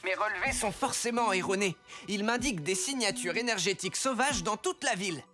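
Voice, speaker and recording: An adult cartoon mentor acted by a woman with a mid-range voice, instructing young heroes. The delivery is projected and directive, with music clearly playing behind it. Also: mid-range voice, a little fast, close to an everyday voice. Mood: concerned. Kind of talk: animated children's cartoon dub.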